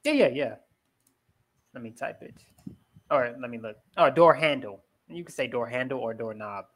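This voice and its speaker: A young man from the United States working as an online English tutor, talking in an easygoing way and gently offering corrections over a headset. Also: medium pitch, moderate pace. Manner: relaxed, easygoing, helpful with corrections. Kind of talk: casual video-call conversation. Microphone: headset on video call.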